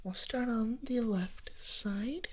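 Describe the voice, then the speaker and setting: A woman playing a gentle, reassuring female dragon nurse in a soft, whispered ASMR medical roleplay. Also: on the high side, slow. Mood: soft, attentive. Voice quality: breathy whisper.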